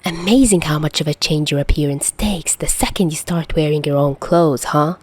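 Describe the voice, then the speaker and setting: A woman's voice: sits low, moderate pace, a little mellow, no echo. A woman playing a flustered, sarcastic young man in a story, with a blunt, grumbling manner.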